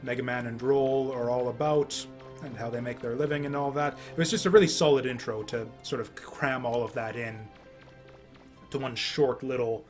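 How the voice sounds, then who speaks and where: A man commenting casually on a game he is playing. His voice sits at an average pitch, and he rambles haltingly, repeating himself, with faint music behind. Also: fairly even intonation. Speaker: game streamer. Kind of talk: casual let's play commentary.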